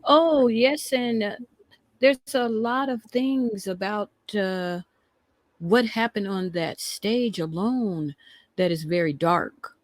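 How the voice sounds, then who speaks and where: Reaction show co-host, medium pitch, emphatic and repetitive, conversational, very dry recording. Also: slow, no room sound.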